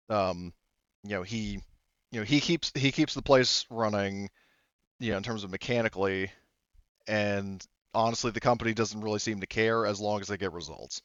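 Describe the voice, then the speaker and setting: A man improvising roleplay dialogue in a halting, rambling, explanatory way with frequent self-corrections. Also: lowish voice, steady tone, fairly bright tone, no room sound, dull audio. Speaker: tabletop roleplayer.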